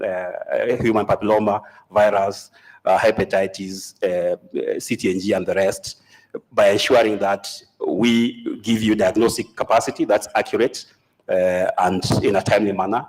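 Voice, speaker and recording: A man from Kenya, a company representative, giving a formal speech at a health meeting, halting with many fillers. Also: on the low side, a little mellow, poor audio.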